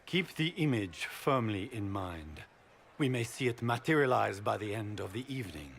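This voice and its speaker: An actor playing a proud, scheming noble duke in a video game, with formal, courtly, theatrical speech. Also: male voice, lowish voice, expressive, moderate pace, vocal fry, fairly bright tone, slight background noise. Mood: courtly, persuasive.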